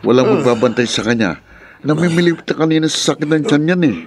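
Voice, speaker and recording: An actor playing a fed-up uncle who complains brusquely in a radio drama. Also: male voice, fairly high pitch, expressive, fast, a crowd in the background. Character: fed-up, grumbling uncle of a young woman.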